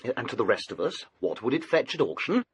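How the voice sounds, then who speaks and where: An actor playing an anxious, impatient young heir in a radio drama, with a British accent and a brusque manner that turns hesitant. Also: male voice, medium pitch, big pitch swings, fast, breathy edge. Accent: British English.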